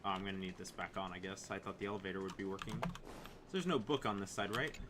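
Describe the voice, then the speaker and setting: A man's voice: sits low, quick pace, background noise. A man doing casual gameplay commentary as a streamer, muttering and thinking aloud to himself. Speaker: game streamer. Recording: loud game music behind, keyboard clicks.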